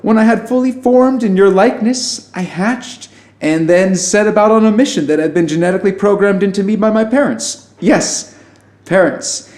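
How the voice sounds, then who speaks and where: A man's voice: high-pitched, moderate pace, darkish tone, natural voice. A man playing an affable, nervous alien who poses as human, a pacifist pessimist speaking in wry, rambling, conversational asides in an audio drama. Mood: wry, confiding. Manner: informal, rambling, self-interrupting, wry asides. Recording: roomy echo, music underneath.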